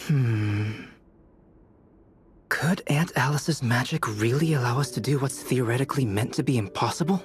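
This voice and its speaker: A man playing a calm young alchemist in a video game, precise, musing and coolly curious. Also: medium pitch, moderate pace, close to an everyday voice. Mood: pondering. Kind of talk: video game character acting. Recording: music behind the voice.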